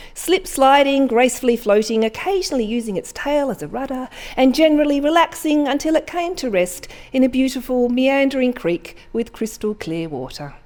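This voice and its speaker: A woman reading a whimsical short story aloud at a live event, expressive and deliberate, with dramatic pauses. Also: fairly high pitch. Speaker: story reader.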